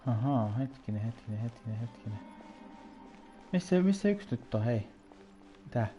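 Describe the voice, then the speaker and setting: A Finnish man streams a game with a relaxed, mellow voice. He talks casually, half to himself, in a Finnish accent, over faint music. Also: mid-range voice, fairly quick, dull audio. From Finland. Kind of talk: casual muttering while playing.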